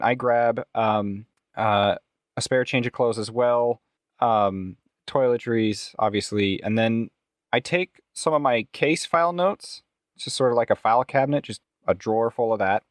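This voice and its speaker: A man's voice: medium pitch, normal speed, no echo, clean studio sound. A man playing a tabletop role-playing game, casually describing what his character does.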